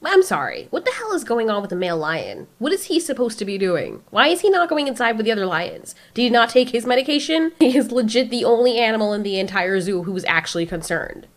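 A woman records casual reaction commentary in a fairly high voice. Her pitch swings around freely. She sounds sarcastic and exasperated as she picks things apart. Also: sing-song, fast talker, hardly any pauses. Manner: sarcastic, exasperated, mildly profane. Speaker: reaction video host.

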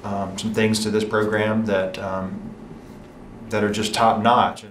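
A pastor from Alabama speaking hesitantly with frequent fillers, standing in a church atrium. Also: male voice, lowish voice, level tone, slow pace, reverberant, slight background noise. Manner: hesitant, many ums and restarts. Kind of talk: casual group testimonial.

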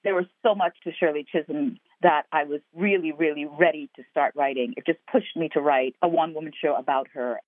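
A woman's voice: sits low, steady tone, fairly quick, few pauses, no room sound. A woman from Guyana, an actor and playwright, speaking earnestly in an interview and building her points in repeated phrases. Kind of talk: interview over the phone. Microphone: telephone. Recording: tinny phone line.

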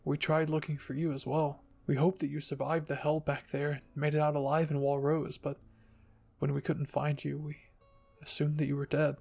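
A man plays a protective, haunted teenage boy soldier in an anime fan story. He speaks sincerely and haltingly, with a gravelly edge and little swing in pitch. Music sits softly behind him. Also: completely flat delivery. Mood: pained.

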